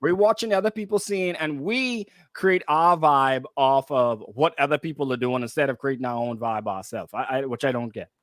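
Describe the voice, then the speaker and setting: A man's voice: mid-range voice, normal speed, hardly any pauses, no echo, studio quality. A man with a Bahamian accent co-hosting radio, explaining things casually and conversationally and often repeating himself. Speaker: radio co-host and DJ.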